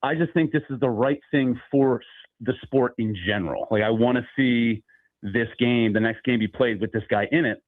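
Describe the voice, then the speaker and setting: A man's voice: medium pitch, moderate pace, no room sound. A man calling in to a sports radio show and talking through his argument with frequent fillers. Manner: conversational, many fillers, steady argument. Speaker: caller. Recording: tinny phone line.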